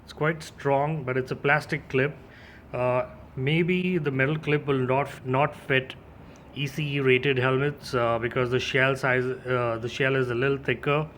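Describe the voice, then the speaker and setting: A man's voice: medium pitch, steady tone, unhurried, fairly bright tone, slight background noise. A man giving a casual, informal unboxing and demo as a gear reviewer, addressing his viewers directly.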